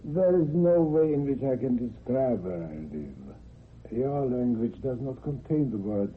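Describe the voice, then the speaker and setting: Solemn male spirit from beyond: slow, hypnotic, reassuring, widely swinging intonation, dull hissy old radio drama sound. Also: medium pitch, sing-song. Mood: calm, wistful. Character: calm male spirit from another world.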